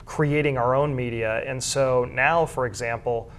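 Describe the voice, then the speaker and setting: A man's voice: medium pitch, steady tone, unhurried, fairly bright tone, slight background noise. A middle-aged American man speaks as a guest in an interview. He explains his work in an earnest, thorough way, with a mid-range voice. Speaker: guest, school sports information director and journalism teacher.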